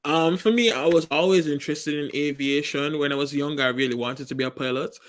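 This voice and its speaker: A man from Saint Kitts and Nevis, a PhD student, chatting in a lively, expressive way and laughing easily. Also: on the high side, a little fast, dry recording. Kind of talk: casual panel chat.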